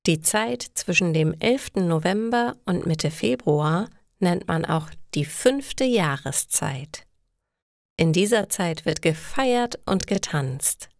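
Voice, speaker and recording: A woman narrates simple stories for language learners in careful, scripted phrases. Her voice sits a little low and she takes her time, very slowly, in a dry, close sound. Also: lively intonation, gravelly, no room sound. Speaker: storyteller for German learners.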